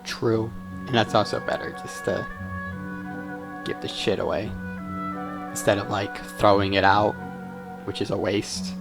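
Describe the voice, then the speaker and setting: A man's voice: lowish voice, level tone, measured pace, creaky, pauses often. A man giving casual, slangy, blunt commentary as he plays a game, with music behind. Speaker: gamer commentating on a playthrough.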